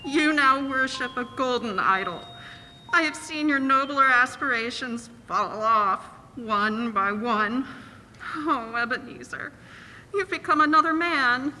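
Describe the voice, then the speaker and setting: A very sharp, bright young woman's voice from an actress carries sorrow and tenderness. She speaks in earnest radio-play style, high in pitch, in a reverberant hall. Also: measured pace, a little hiss or hum, full character voice. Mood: wounded, reproachful. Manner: earnest, theatrical delivery. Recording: reverberant hall, faint music.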